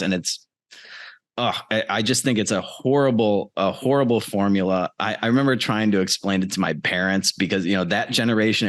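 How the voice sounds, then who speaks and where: A middle-aged American man with a fairly low voice speaks as a guest in a casual interview. His delivery is conversational and informal. The sound is dry, with little room in it. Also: no echo. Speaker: podcast guest, trader and podcaster. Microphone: close mic on a remote video call.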